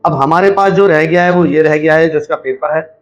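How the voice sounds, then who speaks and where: Higher-voiced man explaining, music behind. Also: fairly quick, muffled recording. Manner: instructional, repeats points step by step. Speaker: video host, scrap recycler. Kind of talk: hands-on demonstration with running explanation.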